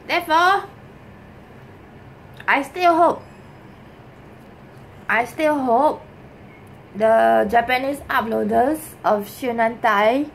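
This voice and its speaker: A middle-aged woman with a Singaporean accent giving a casual, improvised how-to, haltingly and repeating herself, with particles like lah and ah. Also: a little high. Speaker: vlogger giving a cleaning demonstration. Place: small bedroom with desk, indoors. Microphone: camera mic at arm's length on desk. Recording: noisy home recording with room ambience.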